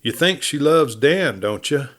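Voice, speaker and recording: A man's voice: mid-range voice, animated, measured pace, a bit bright. A man acting a folksy, preachy tough cowboy. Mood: insistent, emphatic. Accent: American Western. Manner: drawling, folksy lecturing.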